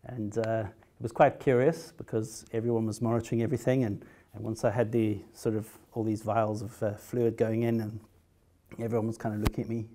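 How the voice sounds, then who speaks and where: A middle-aged man with a South African accent speaks at a conference, pausing often with fillers and restarting his sentences. His voice sits fairly low and sounds dark and mellow. He keeps a dry, wry humor running through the talk. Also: level tone, slight background noise. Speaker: patient speaker.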